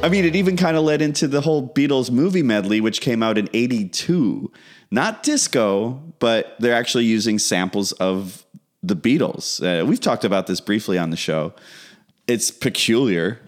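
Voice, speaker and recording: A man's voice: mid-range voice, lively intonation, normal speed. A man co-hosting a podcast, casually chatting about music, agreeing, laughing along and adding facts he has looked up. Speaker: podcast co-host.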